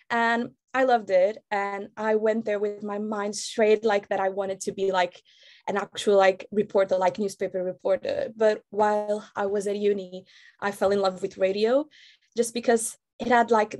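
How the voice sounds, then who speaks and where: A woman's voice: mid-range voice, darkish tone. Casting: young Portuguese-accented woman, chatty intern on a video call, mid-height voice. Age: 20s. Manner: chatty, many "like" fillers. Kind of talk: casual self-introduction on a video call.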